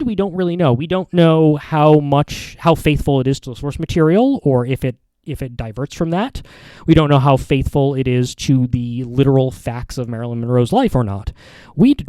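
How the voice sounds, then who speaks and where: A man with a soft-edged, mellow voice of ordinary pitch talks casually as a podcast host. He rambles through his opinions, often repeating and correcting himself as he goes. The sound is dry, with very little room to it. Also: no echo. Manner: halting, rambling delivery with frequent repeats and self-corrections. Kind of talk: casual opinion commentary monologue.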